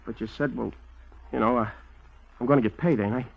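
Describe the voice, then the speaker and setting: An actor playing a nervous, shifty small-time informer with an American accent, stammering and pleading in vintage radio crime style. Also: male voice, mid-range voice, a little fast, gravelly, slightly rough. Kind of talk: 1940s-1950s radio crime acting. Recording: dull vintage radio recording, music behind.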